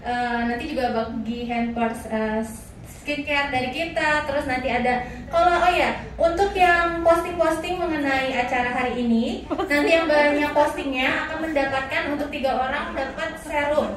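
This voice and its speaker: A woman's voice: sits high, normal speed. Chatty woman host in echoey room. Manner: chatty, filler-heavy. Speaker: event host at clinic. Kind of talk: event hosting with mic. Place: clinic showroom, indoors. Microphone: handheld mic, far camera. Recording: echoey room, distant mic, background noise.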